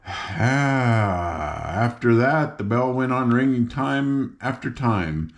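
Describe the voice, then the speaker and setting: Older guy reading a fairy tale out loud, folksy, chatty asides, trips on words a bit. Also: medium pitch, lively intonation, slow pace. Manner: folksy, informal, stumbles over words. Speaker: audiobook reader. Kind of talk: read-aloud storybook narration with chatty asides.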